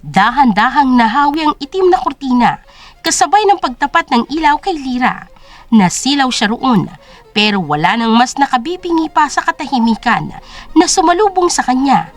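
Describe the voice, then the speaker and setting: A woman's voice: sits high, sing-song, normal speed. A woman storyteller reading dramatic scripted narration for an audio drama. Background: music.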